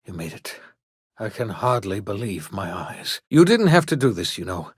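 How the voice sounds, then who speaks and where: An actor playing an eccentric elderly scientist and rebel in a video game, earnest and theatrical, with formal, old-fashioned, emphatic phrasing. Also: male voice, lowish voice, big pitch swings, moderate pace, slightly rough, dry recording, clean studio sound. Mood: weary, relieved. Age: older. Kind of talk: video game character dialogue.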